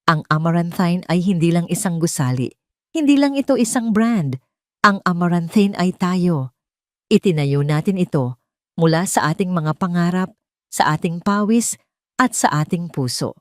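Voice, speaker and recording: A determined woman executive from an audio drama, put on by a female narrator. She speaks firmly and rousingly to her people, in a slightly low voice with a close, dry sound. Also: lively intonation, moderate pace, dry recording, natural voice. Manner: firm, impassioned, rousing. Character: determined, brave woman boss rallying her fearful workers.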